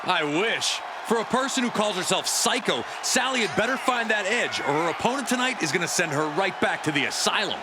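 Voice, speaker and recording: Male wrestling commentator, raised high voice, excited play-by-play, huge noisy crowd behind. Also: brisk. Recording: very loud crowd and arena noise. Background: roaring crowd.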